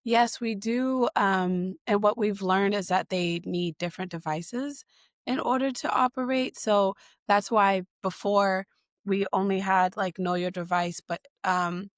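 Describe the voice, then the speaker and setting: A woman from Boston, a guest in an interview, answering in a conversational way with many pauses, fillers and restarts. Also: medium pitch, steady tone, dry recording, clean studio sound. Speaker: guest, digital equity leader.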